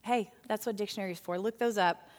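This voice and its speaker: A woman leading a women's Bible study, talking in an animated, chatty way with frequent fillers. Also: medium pitch, quick pace. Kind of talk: lively teaching talk to a women's Bible study group. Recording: hall with long reverb.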